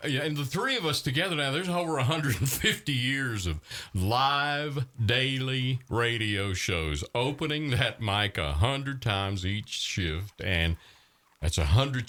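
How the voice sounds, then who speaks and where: A man's voice: mid-range voice, bright, forceful tone. A man, chatting casually and reminiscing in a folksy, emphatic way. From rural southern US, grew up on a farm. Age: 60s. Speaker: radio veteran and podcast host.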